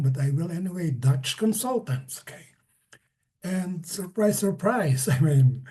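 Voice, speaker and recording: A man's voice: a little high, warm tone, husky. A middle-aged man from the Philippines, a development consultant, telling his career story at an info session in a conversational way with jokes and asides.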